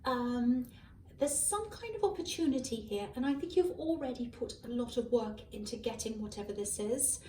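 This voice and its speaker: Woman, hoarse, higher-pitched, rambling tarot reading, roomy and distant. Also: expressive, moderate pace, warm tone, slight background noise. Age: adult. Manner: rambling, musing with many ums and self-corrections. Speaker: tarot reader. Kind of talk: casual tarot reading to camera. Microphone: malfunctioning microphone at a distance. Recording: echoey room, far from a faulty mic. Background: faint passing cars.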